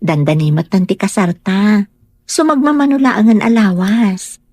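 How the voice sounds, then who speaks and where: A woman playing a caring, gently nagging young fiancée in an audio drama, gentle and solicitous. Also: medium pitch, animated, unhurried, no room sound. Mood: tender. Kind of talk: Ilocano radio-style drama dialogue.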